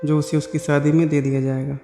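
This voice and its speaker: Man reading as protective old mother in a moral tale: reproachful, firm, mellow, echoing over music. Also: a little high, level tone, a little fast, gravelly. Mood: firm protest. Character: protective elderly mother defending her daughter. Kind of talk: moral story narration. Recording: echoey hall-like reverb over music.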